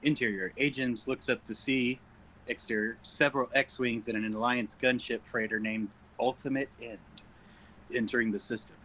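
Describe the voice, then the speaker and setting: A man's voice: mid-range voice, fairly even intonation, moderate pace, pushed and bright, slight background noise. A man reading stage directions as narrator at an informal sci-fi script table read.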